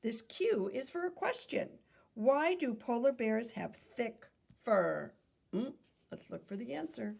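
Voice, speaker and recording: Lady reading a kids book out loud like a teacher, super gravelly fry, pitch goes up and down a lot. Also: lively intonation, a little slow. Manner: instructive, posing questions to young listeners. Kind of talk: children's read-aloud with questions and asides.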